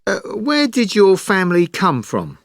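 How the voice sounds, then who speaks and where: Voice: medium pitch, huge pitch swings, unhurried, no room sound, clean studio sound. An interviewer asking short questions in a scripted textbook dialogue, often starting with a hesitant "uh".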